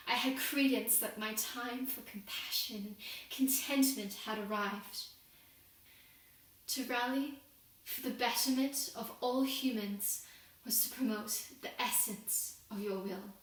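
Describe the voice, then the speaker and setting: Young woman performing as devout industrial-era working woman, guilt-ridden and pleading, solemn dramatic monologue, very slow delivery, heavily echoing room. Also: fairly high pitch, steady tone, fairly bright tone. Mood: regretful. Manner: solemn, deliberate, formal oratory. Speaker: student performer. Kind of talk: dramatic monologue, confessional prayer. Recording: very echoey room, distant microphone.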